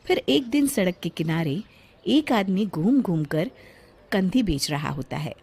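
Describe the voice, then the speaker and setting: A woman's voice: lowish voice, lively intonation, unhurried, creaky, darkish tone, husky. A woman reading scripted narration for a cartoon story, acting as the storyteller.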